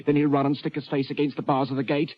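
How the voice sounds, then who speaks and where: An actor playing a veteran prison warder, deferential and plain-spoken, with a British working-class accent, in 1940s radio style. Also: male voice, fairly high pitch, little pitch movement, fast talker, natural voice. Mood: uneasy, respectful. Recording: dull vintage radio recording.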